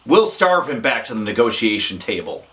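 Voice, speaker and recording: A man playing a gruff, stubborn police negotiator boss with a curt, dismissive manner in a comedy sketch. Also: medium pitch, big pitch swings, moderate pace, fairly bright tone.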